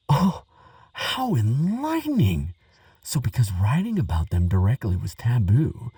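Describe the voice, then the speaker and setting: A man playing an earnest, excitable archaeologist who talks gently to a friend, stammering and musing with wonder, in a relaxing audio roleplay. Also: mid-range voice, breathy edge, no echo, distant mic, exaggerated voice. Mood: curious, awed. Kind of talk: relaxing scripted audio roleplay, spoken to the listener.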